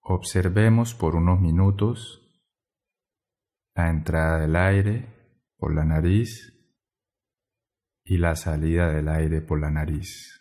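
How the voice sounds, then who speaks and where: A man guiding a meditation in a gentle, measured way, pausing between short phrases. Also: sits low. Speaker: meditation guide. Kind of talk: guided meditation narration.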